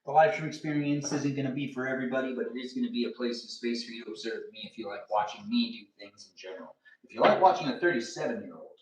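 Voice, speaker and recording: A man's voice: medium pitch, fast talker, hardly any pauses. A man in his thirties hosting a casual livestream from his home kitchen, rambling playfully with sing-song asides while he moves gear and takes apart a desk. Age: 30s. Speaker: livestream host. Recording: roomy, distant camera audio.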